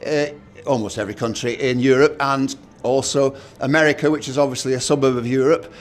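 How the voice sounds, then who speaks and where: A middle-aged man with a loud voice, co-hosting an event in a hotel meeting room, telling stories with restarts and dry jokes. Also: medium pitch, fairly even intonation, a little fast. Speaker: co-host.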